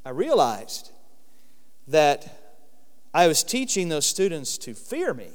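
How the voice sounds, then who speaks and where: A man with a loud voice giving an earnest Bible lesson in sermon style, stressing key words slowly. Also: fairly high pitch, huge pitch swings, long reverb tail. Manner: earnest, emphatic with slow stressed phrases. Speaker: Bible teacher.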